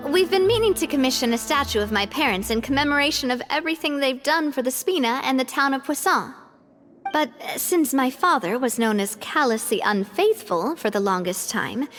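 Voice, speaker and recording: A high, youthful voice as an earnest young woman who leads with brave composure and a wistful streak. Her game dialogue is expressive and measured, with music playing softly behind it. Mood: friendly, composed. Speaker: video game voice actor.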